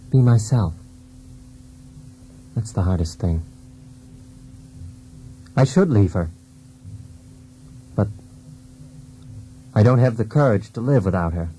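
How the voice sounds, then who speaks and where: Anguished husband, low mellow voice, brooding, introspective, theatrical radio drama, music behind. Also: male voice, a little hiss or hum, low-quality recording. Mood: wounded, yearning. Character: anguished, dependent husband in a failed marriage.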